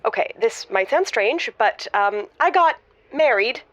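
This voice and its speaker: A busy doctor calling a radio show in a comic audio drama. The voice is high and swoops around a lot, chatty yet hesitant. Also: female voice, expressive, unhurried, a bit bright. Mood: eager, awkward. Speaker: caller to an advice show.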